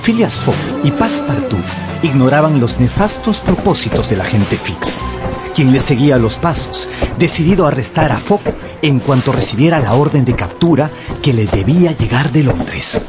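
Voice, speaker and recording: A man narrating a radio drama in Spanish with deliberate, suspenseful storytelling. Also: mid-range voice, very animated. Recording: music behind the voice.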